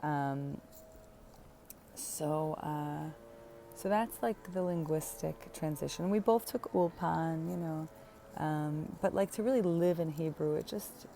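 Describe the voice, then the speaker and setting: Low, gravelly woman vlogging casually. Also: takes it slowly, dark tone, faint music behind. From United States. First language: English. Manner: chatty and rambling, many fillers and self-corrections, frequent light laughs. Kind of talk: casual personal vlog.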